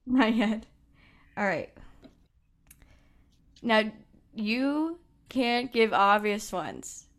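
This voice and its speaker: A woman's voice: fairly high pitch, expressive, extremely slow. A woman hosting a casual podcast, playfully running a guessing game and teasing the players. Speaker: podcast host.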